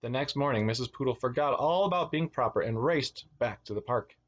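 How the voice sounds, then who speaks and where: A man's voice: mid-range voice, brisk, dull audio. A man reading a children's storybook aloud as a teacher, expressively, with asides to his young listeners. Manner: expressive, acts out words and exclamations.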